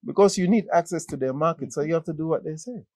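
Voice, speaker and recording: Man, interview guest, conversational, halting, repeats words, dry recording. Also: on the high side, a little mellow, dry recording. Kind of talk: conversational political discussion.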